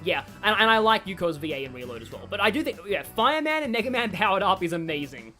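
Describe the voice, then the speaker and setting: Very animated, chatty woman streamer. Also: mid-range voice, quick pace. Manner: rambling, chatty, wry. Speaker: game streamer. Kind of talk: casual live stream commentary. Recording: game music behind.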